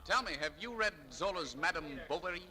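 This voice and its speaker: An actor playing a proud, needling, easily stung male shop clerk in a 1940s radio drama, wry and quick to indignation. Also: high voice, lively intonation, pushed and bright, exaggerated voice. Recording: vintage 1940s radio broadcast, music behind.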